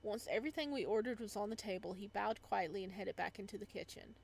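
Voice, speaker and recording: A woman's voice: mid-range voice, quick pace, gravelly. A woman doing a casual let's play, reading story text aloud with side comments and sometimes stumbling over words. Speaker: gamer reading a visual novel aloud.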